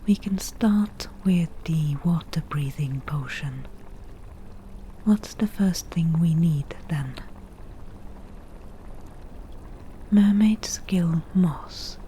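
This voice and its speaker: A woman playing a crafty, slightly defensive forest witch in an ASMR roleplay, speaking in a soft breathy whisper with measured, dry delivery. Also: deep voice, a little hiss or hum. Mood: bossy, matter-of-fact. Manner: slow, measured, matter-of-fact with dry asides. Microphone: close ASMR microphone.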